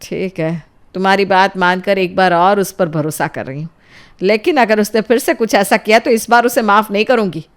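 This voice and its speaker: A woman voicing a strict middle-aged mother in an audio drama, scolding and threatening in a forceful way. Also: medium pitch, normal speed, close to an everyday voice. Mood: reluctant.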